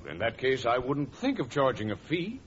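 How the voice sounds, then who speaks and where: A man playing a shrewd, self-important horse doctor in 1940s radio comedy, speaking in a pompous, measured, formal way. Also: mid-range voice, sing-song, natural voice. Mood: brisk, authoritative. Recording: muffled, faintly noisy old radio recording.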